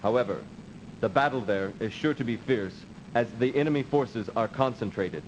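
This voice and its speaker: A man's voice: mid-range voice, close to an everyday voice. Man as a formal officer, muffled game audio. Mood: formal, serious. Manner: formal, measured. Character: dutiful, cautious male officer briefing his space commander. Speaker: military adviser in a video game. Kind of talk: 1990s video game cut-scene acting. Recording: dull, noisy old CD game audio.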